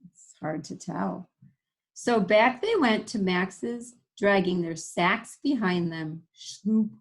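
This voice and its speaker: A woman's voice: mid-range voice, animated, slow, vocal fry. A woman reading a storybook to young children, adding sound effects and simple explanatory asides. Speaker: read-aloud presenter for young children.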